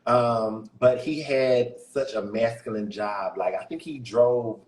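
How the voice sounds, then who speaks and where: A deep-voiced man talks candidly and openly, sitting at a middle pitch. He laughs easily as he chats. The room around him is echoey. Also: slow, a little mellow. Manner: candid, chatty, laughs often. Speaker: guest musician. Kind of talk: casual interview chat.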